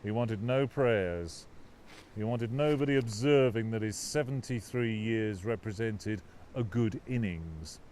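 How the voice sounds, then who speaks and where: A man reading his own novel aloud as scripted audiobook narration, with a dramatic, expressive literary delivery. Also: on the low side, measured pace, a little hiss or hum.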